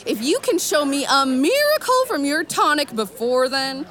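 A woman's voice: high voice, very animated, normal speed, a bit bright. An actress playing a blunt, fearless woman gunslinger who calls out a con man in a radio drama. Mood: challenging. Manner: blunt, challenging, says what she means. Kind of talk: musical radio drama acting.

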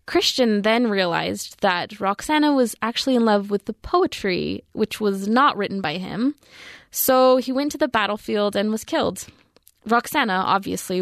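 A woman's voice: medium pitch, dry recording, clean studio sound. A woman in her twenties, a student giving a prepared book review. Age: 20s.

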